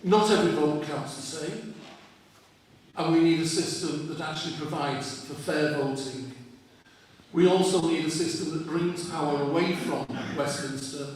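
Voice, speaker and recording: A man answering as a parliamentary candidate at a hustings, earnest and measured. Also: a little high. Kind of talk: hustings answer to a public meeting. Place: public hall meeting. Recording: echoey hall, far from mic, background noise.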